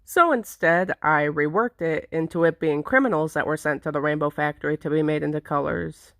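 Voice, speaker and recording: Low-voiced woman narrating a vlog. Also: brisk, no echo, clean studio sound. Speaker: video creator. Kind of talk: semi-scripted explanatory vlog narration.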